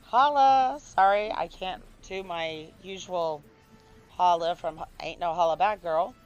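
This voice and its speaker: A woman streamer with a hoarse voice, chatting casually with viewers and a co-player while gaming. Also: lowish voice, measured pace, muffled recording, soft background music. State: hoarse, recovering lost voice.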